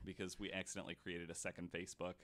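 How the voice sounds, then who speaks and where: A man's voice: low-pitched, completely flat delivery, rapid-fire, crowd noise. A man hosting a casual podcast with joking, easygoing banter. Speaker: podcast host. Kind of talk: casual banter and show sign-off.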